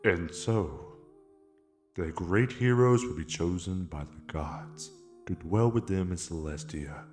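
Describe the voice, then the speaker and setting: Man as a deep, slow solemn preacher. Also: expressive, a little mellow, reverberant, soft background music. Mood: reverent. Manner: measured, reverent, portentous. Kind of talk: dramatized fan comic dub.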